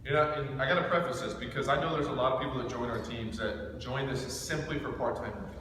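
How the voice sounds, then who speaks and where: A man's voice: medium pitch, quick pace, a bit bright. A man in his thirties gives an informal motivational training talk to a group, speaking intensely and directly with emphatic rhetorical questions. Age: thirty-seven. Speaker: business team trainer. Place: echoing indoor room with a small group. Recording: echoey room recorded from far off, faint noise.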